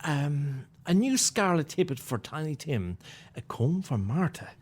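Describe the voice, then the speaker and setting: A man's voice: sing-song, a little slow, darkish tone. An actor with an Irish accent playing a timid male clerk in a radio play, stammering nervously and deferring at every turn. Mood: anxious. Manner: stammering, nervous, jumps high in pitch.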